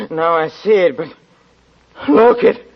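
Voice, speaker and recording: An actor in a 1940s radio drama playing a haunted, anguished murderer, stammering and manic. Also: male voice, sits high, animated, drawn-out words, creaky, breathy edge, heavily acted. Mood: bewildered, manic. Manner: stammering, manic, breaking into mad laughter. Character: crazed gambler, a haunted murderer raving in anguish. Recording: muffled 1940s radio recording, crowded background.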